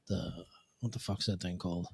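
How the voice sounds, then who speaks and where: A man co-hosting a casual podcast, relaxed and blunt, swearing now and then and answering in short bursts. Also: sits low, moderate pace, vocal fry, slight background noise. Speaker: podcast co-host. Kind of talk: casual chat.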